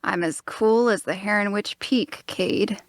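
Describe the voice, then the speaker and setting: Actress as flippant tracker, medium-pitched, brisk, sardonic, impatient, dry fantasy drama recording. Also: female voice, no room sound, natural voice. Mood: sardonic impatience. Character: blunt, flippant, impatient tracker of an adventuring party. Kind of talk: fantasy drama table read.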